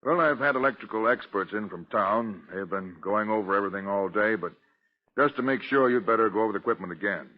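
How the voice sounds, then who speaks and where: Prison warden, male actor, earnest, deliberate authority, lower register, 1940s radio drama, slightly dull archive sound. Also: natural voice. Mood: solemn. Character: dutiful, earnest male prison warden, jumpy but firm. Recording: muffled old radio recording.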